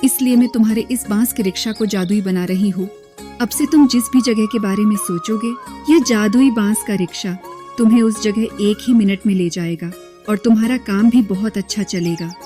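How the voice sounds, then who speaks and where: A woman's voice: mid-range voice, normal speed, dark tone, soft background music, natural voice. A woman voicing a benevolent mother goddess in a cartoon, speaking in a gracious, solemn way. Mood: pleased, benevolent. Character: benevolent mother goddess granting a magical boon. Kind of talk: Hindi cartoon voice acting.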